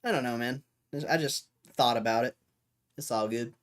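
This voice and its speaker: A hoarse young man hosting a livestream in a loose, rambling way, talking to his chat and often clearing his throat. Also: mid-range voice, moderate pace. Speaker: livestream host.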